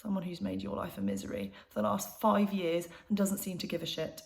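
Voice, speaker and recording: A woman's voice: medium pitch, big pitch swings, fairly quick, vocal fry. A young woman acting an intimate, conversational monologue as a troubled granddaughter confiding in her mother. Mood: anguished, guilty. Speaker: actor performing a self-written monologue.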